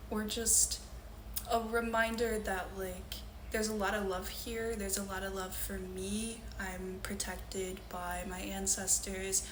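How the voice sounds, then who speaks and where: A woman's voice: medium pitch, fairly bright tone, slight background noise. A young woman from Louisiana, speaking earnestly as she introduces and reads her own poetry. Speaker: poet. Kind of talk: poetry reading with spoken introductions. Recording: roomy echo with faint ambience.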